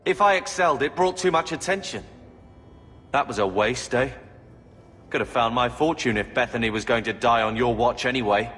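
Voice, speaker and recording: A man performs a young younger brother in a game drama, bitter and chafing in another's shadow. His mid-pitched delivery is sarcastic and aggrieved, with long reverb. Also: moderate pace, fairly bright tone, lightly acted. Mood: resentful. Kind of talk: dramatic video game acting. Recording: long reverb with background music.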